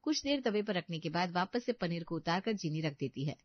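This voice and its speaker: A woman's voice: on the low side, lively intonation, fairly quick, no room sound, muffled recording. A woman narrator reading a scripted bedtime moral story.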